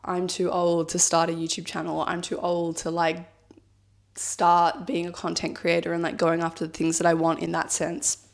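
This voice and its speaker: A woman in her thirties speaks in a fairly low voice, a touch husky from a cold. She muses out loud to camera in a personal vlog, thoughtful and rambling, leaning on fillers like "like" and "I guess.". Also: monotone, normal speed. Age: 30s. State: has a cold, slightly husky. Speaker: vlogger. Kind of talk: reflective personal vlog talk.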